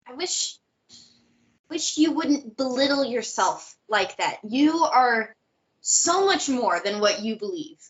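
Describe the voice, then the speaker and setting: A woman's voice: fairly high pitch, very animated, takes it slowly. A woman playing an exasperated but caring young friend, indignant and earnest, in a stage play table read. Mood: concerned, pleading. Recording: dull, muffled recording.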